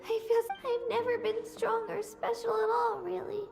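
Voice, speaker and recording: A small, timid game heroine who tries to be brave. Her childlike voice is extremely high and hesitant, earnest in every phrase, over prominent music. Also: little pitch movement, normal speed, creaky, a little mellow. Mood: resigned, brave. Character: young girl, timid, earnest, self-doubting, brave. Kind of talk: anime game voice acting.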